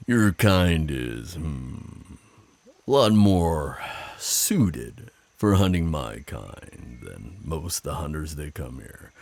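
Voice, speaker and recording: An ASMR roleplay werewolf, acted out by a man, speaks close and dry in a rough, gravel-edged male voice. He is flirtatious and teasing, yet protective of his forest. His delivery is unhurried, with deliberate pauses. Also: big pitch swings, darkish tone, no echo, heavily acted. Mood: wary, firm. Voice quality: very deep, gravelly, husky. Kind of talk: ASMR roleplay, intimate scripted monologue.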